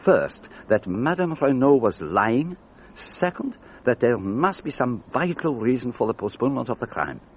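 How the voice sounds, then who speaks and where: An actor playing a brilliant, self-assured detective in a radio drama, precise and theatrical. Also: male voice, mid-range voice, very animated, moderate pace, slight background noise, natural voice. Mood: explaining, deliberate. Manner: precise, theatrical, gently didactic.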